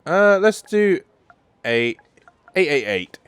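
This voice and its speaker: A woman's voice: on the low side, big pitch swings, slow, clear, resonant tone, no room sound. A woman giving casual let's play commentary, musing aloud with short murmured reactions. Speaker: gamer reacting to a game.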